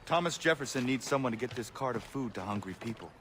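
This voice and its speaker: An actor playing a stern, resolute warrior in a game, with a terse, commanding, formal manner. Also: male voice, mid-range voice, lively intonation, quick pace, fairly bright tone, slight background noise, close to an everyday voice. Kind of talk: scripted game drama dialogue.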